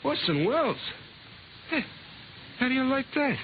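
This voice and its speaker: An actor playing a breezy, excitable male radio operator with slangy, informal speech in a 1950s radio drama. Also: very high voice, moderate pace. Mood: excited, indignant. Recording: dull, noisy old radio broadcast.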